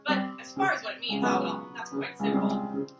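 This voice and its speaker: A woman's voice: medium pitch, very animated, normal speed. A woman playing a kindly, scheming older male neighbor with an earnest storytelling delivery in a staged musical reading. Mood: explaining, playful. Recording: echoey distant stage recording, loud piano.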